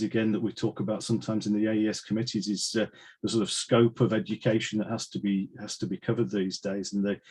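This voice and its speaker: Lower-voiced man hosting. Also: darkish tone. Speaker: webinar host, committee member. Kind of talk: conversational hosting. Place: online video call.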